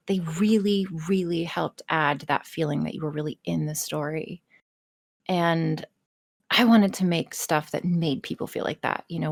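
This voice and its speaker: Candid, low-voiced woman chatting. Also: no echo, studio quality. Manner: candid, emphatic, profane, self-deprecating. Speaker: podcast guest, erotic audio role-play performer. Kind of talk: casual podcast chat.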